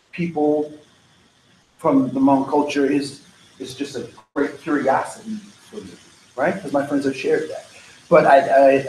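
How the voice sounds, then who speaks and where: Casual, digressive man lecturing. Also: on the high side. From United States. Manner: casual, digressive, frequent "right?" and "um". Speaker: diversity trainer. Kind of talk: conversational workshop lecture. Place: training room. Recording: echoey training room, faint noise.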